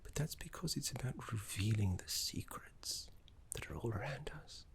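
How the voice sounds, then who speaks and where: A man voicing a gentle, scholarly necromancy teacher in an ASMR roleplay, soft, breathy and half-whispered, with soothing, genteel phrasing. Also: mid-range voice, animated, normal speed. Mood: reverent, hushed. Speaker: teacher guiding a student.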